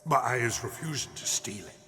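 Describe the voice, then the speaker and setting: An actor playing a gentle, timid old giant in an audiobook drama, speaking earnestly in muddled, homely grammar. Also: male voice, medium pitch, expressive, a little fast, big-room sound. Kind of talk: full-cast audiobook dramatization.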